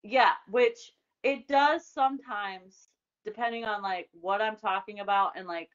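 A woman's voice: mid-range voice, measured pace, smooth, no room sound, lo-fi sound. A woman in casual chat, emphatic and easily exasperated, swearing freely while ranting.

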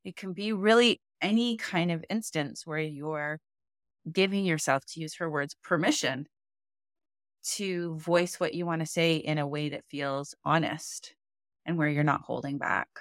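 A woman who teaches speaking, co-hosting a podcast, talking clearly and engagedly about a book and slowing down to stress points. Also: deep voice, no room sound, clean studio sound. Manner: articulate, engaged, cites facts, slows for emphasis. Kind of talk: casual book discussion.

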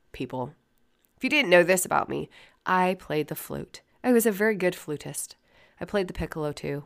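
A woman hosting a casual solo podcast, scratchy and sniffly from a head cold, candid and rambling, swearing freely. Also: sits low. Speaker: podcast host.

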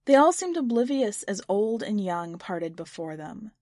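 Female narrator, literary fiction, scripted reading, medium pitch, dry close recording. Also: big pitch swings, measured pace, darkish tone, no echo. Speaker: podcast editor and story narrator.

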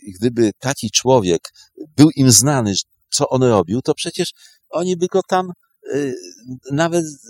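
A man comments on history off the cuff, often pausing to search for the next word. His voice sits in a middle male register and is recorded very dry, with no room around it. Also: medium pitch, a little mellow, no echo. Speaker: historian guest.

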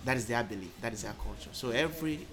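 A man, a podcast guest telling his story in measured, thoughtful speech full of um pauses. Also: medium pitch, lively intonation, music in the background. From Nigeria. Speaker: interview guest. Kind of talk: casual conversational storytelling.

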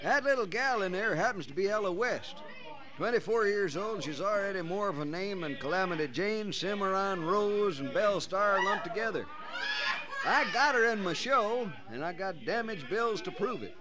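A high-voiced, blustering Wild West show boss in a 1950s radio western. He talks in a folksy frontier drawl over clear crowd noise on an old recording. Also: expressive, moderate pace. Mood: troubled, confiding.

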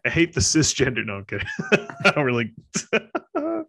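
A man's voice: mid-range voice, huge pitch swings, normal speed, gravelly, fairly bright tone, breathy edge. A man in a casual conversation, joking loosely and leaning on "like" as filler. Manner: loose, joking, says "like" a lot. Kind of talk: casual chat.

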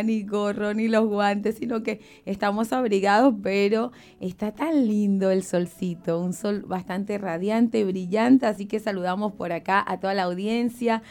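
A woman's voice: mid-range voice, warm tone. A woman co-hosting live radio, chatting casually and descriptively to the audience. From Venezuela. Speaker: radio co-host.

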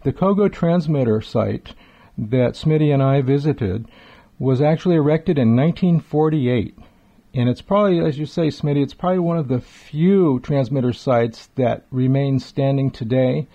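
A man's voice: medium pitch, moderate pace, warm tone. A middle-aged or older man hosting a retro radio talk show, speaking in a chatty, wordy, enthusiastic way with emphatic repetition. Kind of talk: enthusiastic conversational show introduction. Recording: dull, lo-fi sound.

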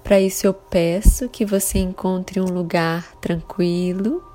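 A Brazilian woman leading a guided meditation in a calm, soothing, measured way with long pauses between phrases. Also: on the low side. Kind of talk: slow guided meditation narration. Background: soft sustained meditation music.

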